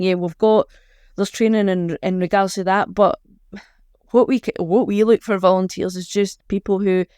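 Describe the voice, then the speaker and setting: A woman's voice: fairly low pitch, warm tone, clear, resonant tone, no echo, studio quality. A woman with a Scottish accent chatting informally as a charity organiser being interviewed. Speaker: charity organiser, podcast guest.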